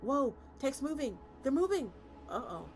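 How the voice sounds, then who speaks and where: Frantic, sweary football player for a dramatic story read by a woman, high and exclamatory, music behind. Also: very animated, measured pace. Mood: panicked. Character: excitable, foul-mouthed football player and play commentator.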